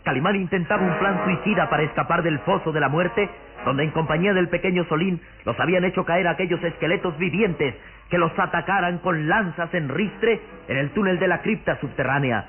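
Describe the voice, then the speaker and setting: A tinny vintage radio recording with loud music behind. A man narrates a serial in a high, theatrical voice, declaiming each sentence with suspense. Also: brisk.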